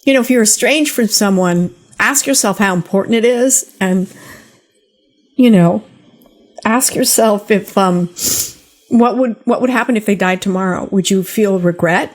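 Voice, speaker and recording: A woman's voice: mid-range voice, moderate pace. An older woman hosting a podcast, telling personal stories in a casual, conversational way straight to her listeners. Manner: conversational, talks directly to listeners. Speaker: podcast host.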